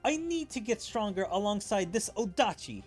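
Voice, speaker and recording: Guy doing an earnest fired-up anime fighter, high voice, swoops around a lot, game music behind. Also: sing-song, moderate pace.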